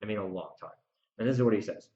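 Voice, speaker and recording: A man's voice: lowish voice, quick pace, dark tone. A man hosting a solo podcast in a rambling, emphatic, preachy way, repeating phrases for stress. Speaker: podcast host and author. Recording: roomy echo, slightly dull lo-fi sound.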